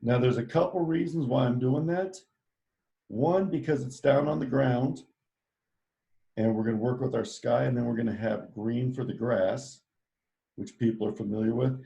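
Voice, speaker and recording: A man's voice: mid-range voice, moderate pace, creaky, mellow. A man teaching a live online drawing lesson, giving playful step-by-step instructions in an upbeat way. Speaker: art teacher.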